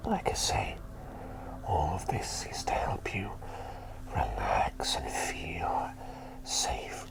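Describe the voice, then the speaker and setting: A gentle masked plague doctor, acted out by a man whispers in a very low, breathy voice for an ASMR roleplay. The delivery is slow and doting, with genteel politeness. The pitch wanders widely as the doctor soothes and rambles whimsically. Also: huge pitch swings, exaggerated voice. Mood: tender, soothing. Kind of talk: ASMR roleplay, personal attention.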